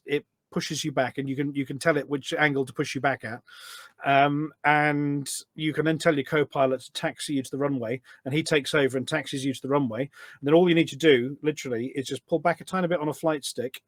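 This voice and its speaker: A man's voice: a little high, no room sound. British man chatting, dry close sound. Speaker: podcast guest, voice pack developer. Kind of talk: casual anecdotal chat. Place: small studio.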